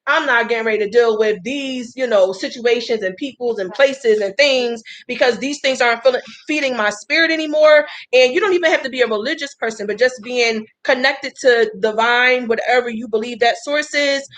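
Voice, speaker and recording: Chatty woman in her thirties, higher voice. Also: brisk, few pauses, a bit bright. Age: 30s. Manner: chatty, folksy, addresses viewers as y'all. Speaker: host. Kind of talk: casual live-stream talk.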